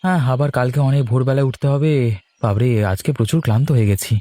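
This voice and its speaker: A man voicing a brisk, practical, hardworking village brother in a cartoon. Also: mid-range voice, warm tone, lightly acted. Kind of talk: cartoon character dialogue.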